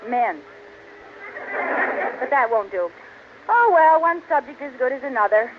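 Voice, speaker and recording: An eager, dizzy housewife from a 1940s radio comedy, putting on grand airs with big words. Her voice is high and leaps about theatrically. The recording is muffled, with a light hiss. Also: lively intonation, a little slow. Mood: determined, flustered. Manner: theatrical, pretentiously using misused big words. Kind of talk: 1940s radio sitcom acting. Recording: muffled, hissy old radio broadcast.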